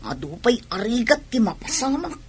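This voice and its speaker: A woman's voice: fairly high pitch, big pitch swings, moderate pace, slight background noise. A woman with a Filipino accent playing an unhappy young pregnant wife, complaining and sulky, in a radio drama. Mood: complaining, irritable. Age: young adult. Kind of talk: Ilocano radio drama acting.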